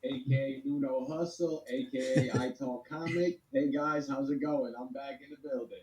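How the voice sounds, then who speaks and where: Male guest, dark voice, quiet joking, far from mic. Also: mid-range voice, steady tone, normal speed, roomy sound, slight background noise. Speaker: podcast guest. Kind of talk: casual group chat.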